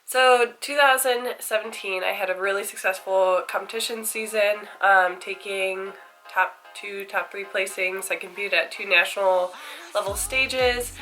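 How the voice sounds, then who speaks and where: A woman's voice: medium pitch, fairly even intonation, unhurried, pushed and bright, soft background music, rough recording. A young woman with a congested voice from a cold, chatting candidly to camera about her fitness routine. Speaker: fitness vlogger. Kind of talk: casual chat to camera.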